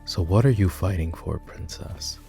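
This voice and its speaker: Proud, bitter war-hero knight for fantasy roleplay ASMR, male actor; lowish pitch, sardonic delivery, soft music underneath. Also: moderate pace, vocal fry. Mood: softer, probing. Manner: scornful, dramatic, sardonic.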